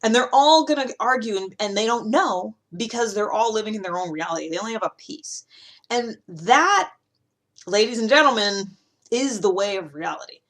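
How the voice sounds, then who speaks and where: Chatty, animated woman explaining things. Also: medium pitch, normal speed. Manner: animated, conversational, emphatic with asides. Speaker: host of a personality-typing video series. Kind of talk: casual explanatory monologue to camera.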